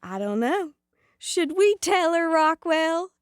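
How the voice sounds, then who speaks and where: A woman's voice: extremely high pitch, big pitch swings, slow, no echo, studio quality, full character voice. A woman playing a teasing, grand guardian angel who coaxes like a seller in a comedic audio drama. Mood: playful, teasing. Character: playful, teasing fake guardian angel peddling a drink.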